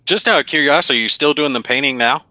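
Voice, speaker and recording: A man's voice: fairly high pitch, fast talker, bright, forceful tone, no echo. A man from the American South co-hosting a casual interview, on a slightly lo-fi line. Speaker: co-host, interviewer.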